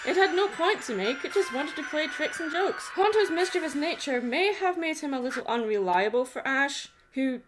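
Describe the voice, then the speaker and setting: A woman with a fairly high voice narrates a scripted video essay over clear background music. Her delivery is expressive and drops into conversational asides. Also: normal speed, few pauses.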